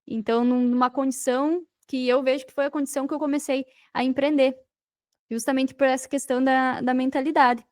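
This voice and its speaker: Brazilian woman on a podcast, really high voice, dry close sound, emphatic, chatty. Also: little pitch movement, brisk, darkish tone, no echo. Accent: Brazilian Portuguese. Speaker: podcast guest, digital marketing entrepreneur. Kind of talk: conversational interview storytelling.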